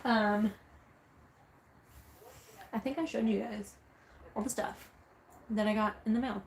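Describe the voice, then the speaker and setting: A woman with a mild Southern drawl talks to her viewers in a relaxed, upbeat way. Her voice sits at a middle pitch, and her delivery is frank and conversational. She reads their comments aloud and replies to them as she goes. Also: measured pace, slight background noise. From Southern United States. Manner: chatty, upbeat, candid. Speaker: vlogger. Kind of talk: casual chat reading viewer comments.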